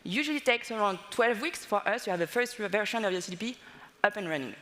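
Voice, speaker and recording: A woman's voice: mid-range voice, animated, fast, a bit bright, long reverb tail. A woman in her thirties from France with a French accent, giving a conference talk on stage with many small fillers. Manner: fluent but slightly non-native phrasing, many "uh" fillers. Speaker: conference speaker. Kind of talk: conference presentation. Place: large conference hall on stage.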